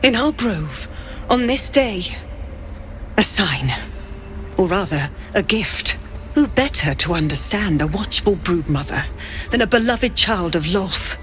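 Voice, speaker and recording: An actress playing a cold, zealous and menacing druid leader in a fantasy video game, with grave, deliberate pronouncements. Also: female voice, medium pitch, animated, fairly bright tone, soft background music. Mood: imperious, sly.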